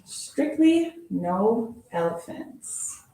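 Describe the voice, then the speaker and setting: A woman teacher reading a children's picture book aloud in a playful, expressive storytelling manner, adding little sound effects. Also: a little high, very slow, noisy background. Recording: very echoey room from far off.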